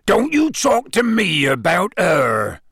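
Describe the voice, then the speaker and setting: A massive, gruff storybook giant, growling in blunt country speech. He is fiercely loyal and short-tempered, with lively swings of pitch in a dry recording. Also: a little slow, dry recording, full character voice. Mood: grim, distrustful. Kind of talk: audiobook character acting.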